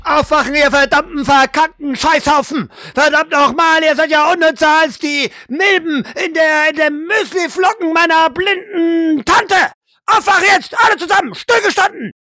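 A brutal drill sergeant barks in a strained high, bright voice. He is contemptuous and foul-mouthed, hurling commands at recruits in a role-play audio drama, recorded close and dry. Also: normal speed, no room sound. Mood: barking, furious. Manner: barking, insulting, commanding.

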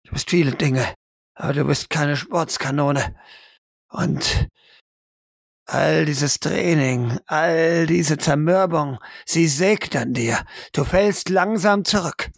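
A man narrating dramatically as game master of an improvised role-play audio drama, with deliberate, suspenseful phrasing. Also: fairly high pitch, creaky, no echo, studio quality.

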